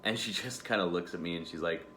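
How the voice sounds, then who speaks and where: A man's voice: sits low, quick pace, pushed and bright, a little hiss or hum. An actor playing the profane, guilt-ridden ghost of a male tiger in a stage monologue, telling his story with sardonic humor. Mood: wry. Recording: echoey room.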